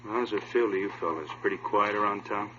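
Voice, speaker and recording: An actor playing a cautious, businesslike police captain with an air of authority in a 1950s radio drama. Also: male voice, on the low side, fast, noisy background, close to an everyday voice. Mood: brisk, questioning. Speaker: supporting actor. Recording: muffled, humming vintage radio recording.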